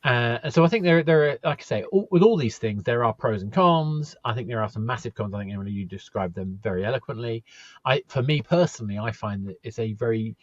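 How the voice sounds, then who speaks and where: A man hosting a podcast interview, talking in a thoughtful, halting way and often repeating words as he starts a sentence. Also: mid-range voice, expressive, no echo, highs cut off. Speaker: podcast host. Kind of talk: casual conversational interview.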